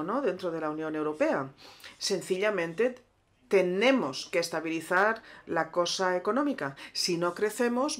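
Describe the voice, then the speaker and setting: A woman working as a simultaneous interpreter at a conference, rendering the speaker's words in a measured, steady delivery. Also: medium pitch.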